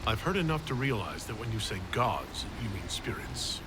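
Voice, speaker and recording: A fantasy game's heroic leader, speaking in a lowish voice. He is direct, inquiring and controlled. Loud ambient noise runs behind him. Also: sing-song, moderate pace. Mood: calm, probing. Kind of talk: video game dialogue acting.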